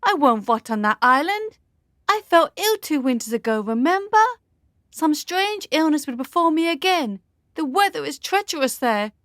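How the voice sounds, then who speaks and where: Young noble daughter played by a woman, period radio drama; high, very expressive voice, earnest and imploring. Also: normal speed, no echo, clean studio sound. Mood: desperate pleading. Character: pleading, dreamy young noblewoman, a lord's daughter.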